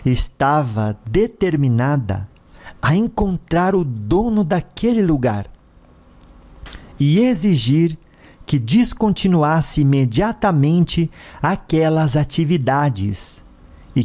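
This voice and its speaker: A man with a Brazilian accent narrating a scripted story dramatically, in deliberate, theatrical phrases broken by short pauses. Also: a little high, lively intonation, warm tone.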